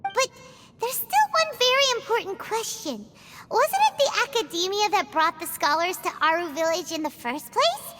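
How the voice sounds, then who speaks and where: A squeaky fairy companion. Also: female voice, slight background noise, exaggerated voice. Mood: curious. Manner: excitable, squeaky, fast reactions. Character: small floating female fairy companion, chirpy. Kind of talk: video game voice acting. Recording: long reverb with game music.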